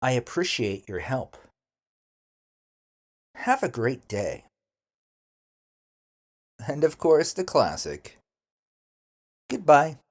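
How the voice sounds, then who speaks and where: A man teaching an English lesson, speaking deliberately and enunciating carefully as he gives model phrases for learners to repeat. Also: mid-range voice, very animated.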